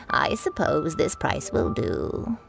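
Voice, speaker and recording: A woman's voice: sits low, wildly swooping pitch, drawn-out words, vocal fry, loud music behind the voice, exaggerated voice. A woman voicing a weary, curt but obliging old granny shopkeeper in a video game, with sighing delivery. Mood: resigned.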